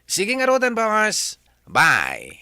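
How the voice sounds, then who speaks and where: A goofy Ilocano henchman in a comic radio drama. He is eager and obedient, very slow, with a sharp highish voice that swoops wildly. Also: wildly swooping pitch, clear, resonant tone, exaggerated voice.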